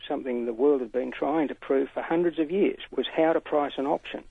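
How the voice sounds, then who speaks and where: A man's voice: mid-range voice, a little fast, creaky, breathy edge, no room sound. An older man calling in to a radio show, talking earnestly and at length over a tinny phone line. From Australia. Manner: earnest, emphatic, talkative. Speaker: caller.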